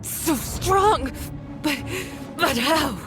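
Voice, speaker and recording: An actress playing a haughty, mocking villainess in a video game cutscene, with a condescending, theatrical delivery. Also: female voice, sits high, lively intonation, very slow, over music, heavily acted. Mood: shocked, desperate. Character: haughty, mocking villainess, a scornful foreign diplomat and harbinger.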